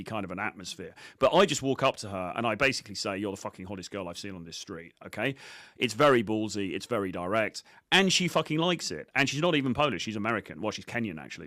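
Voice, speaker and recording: A man's voice: fairly low pitch, a bit bright, no room sound. A man giving informal coaching commentary on video clips, blunt, sweary and self-assured. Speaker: dating coach presenter.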